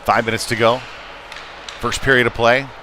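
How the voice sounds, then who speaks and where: A man giving live ice hockey play-by-play in short clipped calls with hesitant pauses. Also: medium pitch, level tone. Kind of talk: live sports commentary. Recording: clear background noise, live rink ambience. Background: ambient sports venue noise.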